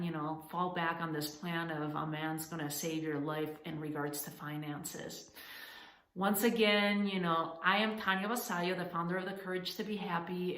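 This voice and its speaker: A woman from Spain talks straight to camera in an earnest, encouraging way, with a fairly low voice for a woman. Her sentences are full of small restarts and fillers as she works out what to say. The room around her is echoey. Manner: earnest, encouraging, addresses women as ladies. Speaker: founder of a coaching channel. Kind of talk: direct-to-camera advice talk.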